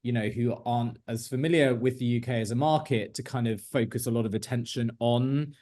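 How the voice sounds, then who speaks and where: A man in a panel discussion with a mid-range voice. He speaks in a measured, thoughtful way, his points carefully weighed, over a video call. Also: mid-range voice, steady tone, dark tone.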